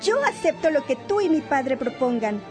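A woman's voice: high voice, sing-song, normal speed, music in the background. A woman playing a sweet, obedient, well-bred adolescent daughter in a radio drama, polite and demure.

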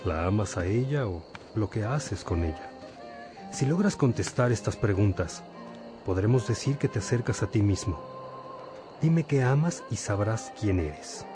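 An actor playing a calm, fatherly bishop who instructs a novice in a measured way in a religious radio drama. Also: on the low side, music in the background.

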